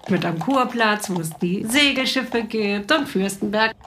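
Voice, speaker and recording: A woman's voice: mid-range voice, animated, unhurried, a bit bright, faint music behind. A woman from a German North Sea island, hosting a podcast with casual, chatty, teasing questions. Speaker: podcast host, writer. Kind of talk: casual conversational interviewing.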